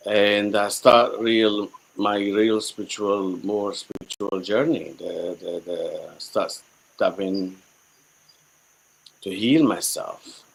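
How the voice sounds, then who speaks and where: A middle-aged man from Syria with a Syrian accent, a native Arabic speaker, telling his own story reflectively as a guest, halting and repeating himself as he searches for words. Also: on the low side, creaky, slight background noise, low-quality recording. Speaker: guest, spiritual healer.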